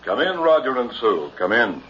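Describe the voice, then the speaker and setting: An actor playing a sinister, theatrical carnival hypnotist professor with grandiose, coaxing patter in an old radio drama. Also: male voice, mid-range voice, very animated, moderate pace, fairly bright tone. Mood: ominous, hypnotic. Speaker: stage hypnotist. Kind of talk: 1960s radio drama acting. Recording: muffled vintage radio, music behind.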